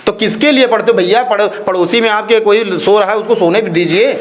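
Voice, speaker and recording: A man speaks in a notably high voice. He argues his opinion emphatically, repeating phrases and firing rhetorical questions as in an interview. Faint ambient noise sits behind him. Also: fairly quick. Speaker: interviewee, social activist. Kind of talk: opinionated street-interview talk.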